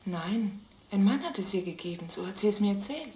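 A woman's voice: medium pitch, animated, brisk, darkish tone, natural voice. An actress playing an anxious, strict mother and housekeeper, earnest and plain-spoken. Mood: worried. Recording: echoey film sound, faint background noise.

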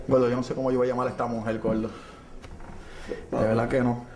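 An actor playing an anxious man who must break bad news, calming a distraught woman. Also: mid-range voice, roomy sound, noisy background, natural voice. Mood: worried. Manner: nervous, soothing, hurried. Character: anxious man who brought his friend to the city.

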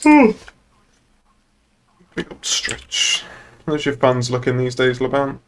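A man's voice: mid-range voice, very animated, slow. A laid-back, joking man chatting casually while roleplaying in an online game. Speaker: streamer playing a police boss in an online roleplay game.

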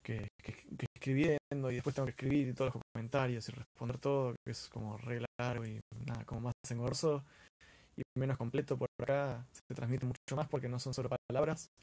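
An Argentine man hosts a live stream in a casual, earnest way. His voice has a middle pitch. He speaks informally and leans on repeated words to drive points home. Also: fairly even intonation, brisk, darkish tone. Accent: Argentine Spanish.

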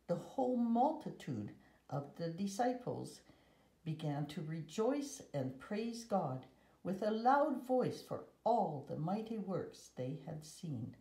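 Mellow older woman reading slowly aloud. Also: lowish voice, big pitch swings. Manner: measured, careful reading in short phrases. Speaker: guest story reader. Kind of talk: read-aloud children's picture book with Bible verses. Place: room at home. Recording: roomy echo.

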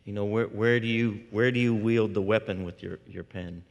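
A man in his forties with a low voice asks long, searching questions from a theater stage, circling back as he thinks. A long hall reverb trails his words. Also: fairly even intonation, moderate pace, vocal fry, muffled recording. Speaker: onstage interviewer. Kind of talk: thoughtful, rambling questions.

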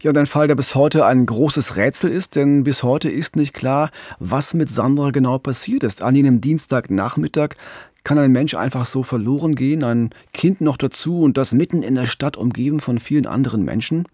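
A middle-aged or older man hosting a true-crime podcast, telling the story in a measured, earnest way. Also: mid-range voice, darkish tone, dry recording, studio quality. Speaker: podcast co-host, TV crime reporter.

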